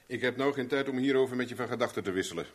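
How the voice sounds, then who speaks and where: An actor playing a brusque, persistent private investigator in a 1970s radio drama, speaking in a brisk, clipped way. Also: male voice, medium pitch, husky, lightly acted. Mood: brusque, commanding. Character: brusque, persistent male private investigator, cool under pressure.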